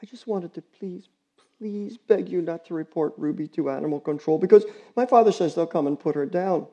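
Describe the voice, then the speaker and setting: A man's voice: fairly high pitch, fairly quick, warm tone, muffled recording, pitched above the performer's own voice, natural voice. A man voicing a precocious, earnest teenage girl who pleads eagerly. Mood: pleading. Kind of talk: audiobook character reading.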